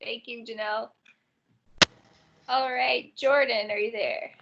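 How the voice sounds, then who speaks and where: A woman's voice: on the high side, steady tone, creaky, very noisy. A woman hosting an online orientation session, calling on students one by one to introduce themselves. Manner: brisk roll-call introductions. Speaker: orientation host. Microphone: video call. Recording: muffled video call audio.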